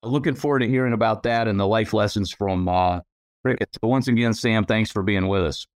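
Guy hosting a podcast, lowish voice, rambles a bit, jokes about himself. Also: a little fast, dry recording, studio quality. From United States. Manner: chatty, rambling, self-deprecating. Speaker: podcast co-host. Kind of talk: casual interview chat.

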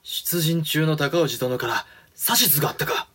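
An actor playing a grave, restrained samurai regent in a period audio drama, husky, terse and commanding. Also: male voice, fairly high pitch, a little slow, bright, forceful tone, exaggerated voice.

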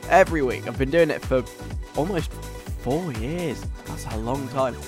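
A man's voice: mid-range voice, moderate pace. British man presenting casual radio over music. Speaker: radio show host.

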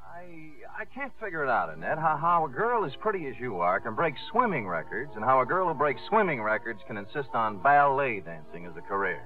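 A man's voice: medium pitch, sing-song, brisk, few pauses, close to an everyday voice. An actor playing a brash, enthusiastic, breezy showman in a 1950s radio drama. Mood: flustered flirting. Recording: muffled 1950s radio broadcast, faint music.

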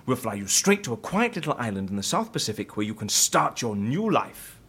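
An actor playing a gleeful, eccentric schemer in an audio comedy, theatrical, bossy and relishing every word. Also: male voice, medium pitch, sing-song, brisk, a bit bright, husky, heavily acted. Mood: breezy, relishing. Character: gleeful eccentric male schemer plotting absurd political stunts. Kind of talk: audio comedy drama acting.

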